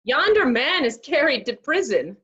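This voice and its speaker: A woman's voice: high-pitched, big pitch swings, normal speed, fairly bright tone, highs cut off. A woman playing a cheeky, streetwise male servant in a staged Shakespeare comedy reading, with jesting patter.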